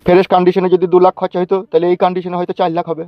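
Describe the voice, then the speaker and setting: A man's voice: sits high, monotone, racing, dry recording, dull audio, close to an everyday voice. A man playing a deferential, calculating village father bargaining over his wronged daughter in a cartoon comedy. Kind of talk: Bengali cartoon comedy dialogue.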